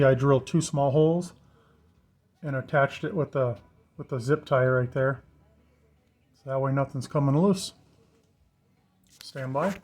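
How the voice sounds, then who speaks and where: Man, mid-pitched voice, informal vlogger, plainspoken, hands-on show-and-tell. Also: darkish tone. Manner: plainspoken, informal, pointing things out. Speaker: vlogger showing his truck wiring project. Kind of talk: casual hands-on walkthrough.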